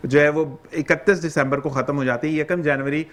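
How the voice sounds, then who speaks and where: A man's voice: a little high, steady tone, normal speed. Chatty Pakistani male morning show host. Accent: Pakistani Urdu. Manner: courteous, chatty, formal greetings.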